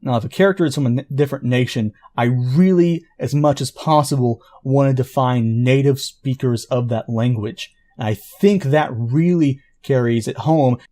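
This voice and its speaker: A man who writes an audio drama, explaining it in an earnest, informal pitch. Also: moderate pace, hardly any pauses, warm tone.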